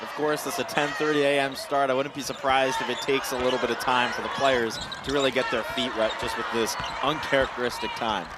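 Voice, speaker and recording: A man's voice: mid-range voice, fairly even intonation, a little fast, few pauses. A man giving conversational live sports analysis as a color commentator. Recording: noisy gym ambience, bouncing balls. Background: basketball game noise.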